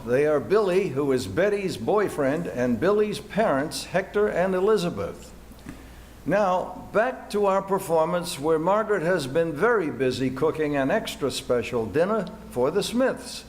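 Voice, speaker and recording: A man's voice: on the high side, sing-song, measured pace. A man reading scripted narration as the announcer of an amateur radio play. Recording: faint background noise.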